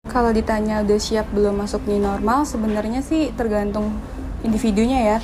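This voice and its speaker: A woman's voice: medium pitch, steady tone, brisk, music in the background. A woman in her twenties who works as a makeup artist, answering interview questions in a chatty, casual way. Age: 20s.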